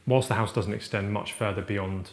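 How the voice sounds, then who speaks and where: A man hosting a podcast, chatting and making thoughtful observations about a house he is visiting, with a voice he calls booming. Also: steady tone, normal speed. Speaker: podcast host. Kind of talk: casual interview chat. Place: large open-plan house living room, indoors.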